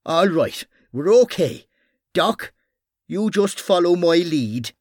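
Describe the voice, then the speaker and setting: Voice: medium pitch, animated, takes it slowly, a little mellow, dry recording, clean studio sound, voice pushed up. A performer voicing a brash, conniving and sardonic policeman in an audiobook, with a theatrical, commanding manner. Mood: urgent, bossy.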